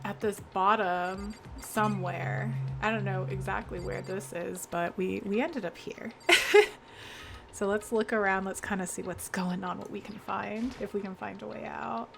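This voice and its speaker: A woman's voice: mid-range voice, steady tone, a little slow, vocal fry, a bit bright, faint music behind. A young woman streaming a game, chatty and laughing often, greeting viewers by name, her voice congested with a sore throat. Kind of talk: casual game stream chat.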